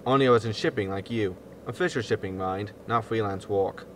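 A man's voice: fairly low pitch, a little fast, slight background noise, natural voice. A man playing a defensive, exasperated disgraced spaceship captain, indignant and grumbling, in a sci-fi comedy audio drama. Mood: grumbling, resigned.

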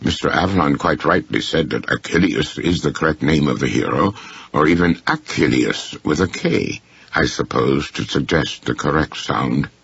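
A man voicing a courteous, shrewd, unflappable gentleman in a story, speaking formally and with deliberate precision. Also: a bass voice, animated, gravelly, breathy edge, dry recording, highs cut off, heavily acted. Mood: gently pointed.

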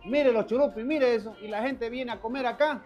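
A man's voice: very high voice, brisk, darkish tone, dull audio. Scolding male inspector in a news clip. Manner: scolding, insistent, repeats commands. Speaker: health inspector. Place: food stall kitchen. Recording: news clip with faint music behind.

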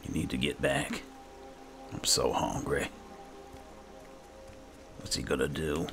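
A man talks to himself as he plays a game, in an extremely low and heavily fried voice. He takes his time, yet his pitch moves around in lively swings. His commentary is casual and muttered. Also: slow pace, vocal fry. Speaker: gamer and role-player. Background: game music.

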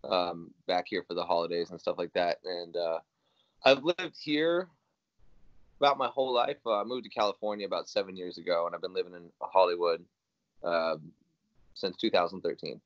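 A man's voice: low voice, moderate pace, dry recording, dull audio. A young man from Iowa, talking casually and rambling with many fillers and restarts on a video call from a small room at home. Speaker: guest musician. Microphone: video call webcam.

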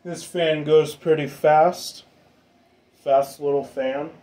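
A man giving a casual, informal hobbyist demonstration in an unhurried, rambling way. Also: fairly high pitch, fairly even intonation, warm tone. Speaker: ceiling fan hobbyist vlogger. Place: indoor room with exposed pipes and ductwork.

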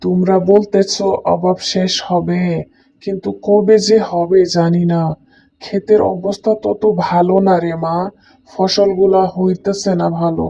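A poor farmer and father of two , acted out by a voice actor for a cartoon. He sounds weary and fretful, with a middling pitch, on a slightly dull, lo-fi recording. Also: flat delivery, measured pace, gravelly, mellow. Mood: worried, resigned. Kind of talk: cartoon voice acting.

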